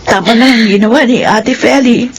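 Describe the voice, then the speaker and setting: An actress playing a grateful, earnest younger sister in a radio drama. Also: female voice, on the high side, normal speed, slight background noise, natural voice. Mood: grateful, sincere.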